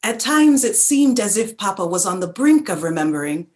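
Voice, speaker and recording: An actress playing a reflective narrator who remembers her childhood, in a measured, deliberate staged reading of a literary play. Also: medium pitch, very animated, darkish tone, lightly acted. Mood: reflective remembrance.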